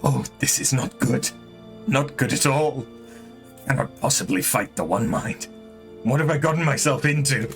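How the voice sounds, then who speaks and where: A man's voice: medium pitch, normal speed, faint music behind, voice pushed up, natural voice. A man voicing a fearful young Vath creature from a game, pleading in a strained, raised voice. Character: young male Vath beast-tribe creature, fearful and anxious.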